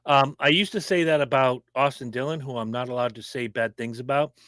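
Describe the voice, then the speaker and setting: Brief: fast-talking, opinionated male podcast co-host, dry close sound. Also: medium pitch, dry recording. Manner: opinionated, animated, quick-talking. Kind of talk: casual sports chat.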